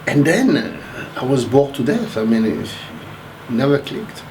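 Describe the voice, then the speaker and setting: A man's voice: medium pitch, very animated, unhurried, darkish tone, slight background noise. A man in his sixties from Haiti, speaking casually in an interview and rambling through his memories with many restarts. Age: 60s. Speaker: interviewee, math professor.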